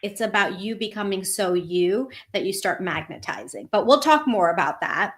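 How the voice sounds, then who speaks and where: A woman's voice: mid-range voice, animated, moderate pace. A woman from Northern Virginia, a coach and interview guest, speaking in an earnest, candid, flowing way. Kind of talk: casual live interview.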